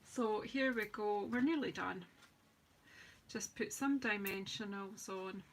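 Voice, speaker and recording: A woman with a slightly croaky voice, chatting and rambling as she hosts a live craft demonstration. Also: medium pitch, slow pace, slight background noise. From United Kingdom. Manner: chatty, rambling, self-deprecating, talks while working. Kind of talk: live-stream craft demo chat.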